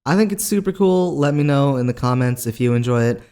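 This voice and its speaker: A man's voice: mid-range voice, lively intonation, fairly quick, warm tone, clear, resonant tone. A young adult man with a scratchy voice, casually telling a story as a video host in a slangy, conversational manner full of asides. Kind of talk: casual storytelling to camera audience.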